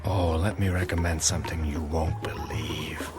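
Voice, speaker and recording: An actor playing a suave, roguish gambler and cheat in a radio drama, with an insinuating, knowing delivery. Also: male voice, rumbling low, steady tone, moderate pace. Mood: smooth, seductive. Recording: music playing behind the voice.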